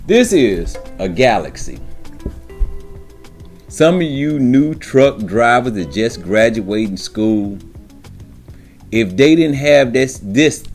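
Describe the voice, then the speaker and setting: A man speaks at a middle pitch over faint music, like a seasoned trucker schooling new drivers. His delivery is informal and emphatic, with streetwise phrasing and rhetorical check-ins. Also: darkish tone. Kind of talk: informal instructional monologue to camera.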